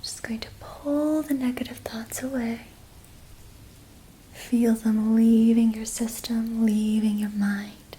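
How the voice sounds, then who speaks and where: A young woman whispering a gentle ASMR reiki relaxation in soft, slow, repetitive phrases. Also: fairly high pitch, little pitch movement, breathy edge. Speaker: ASMR creator.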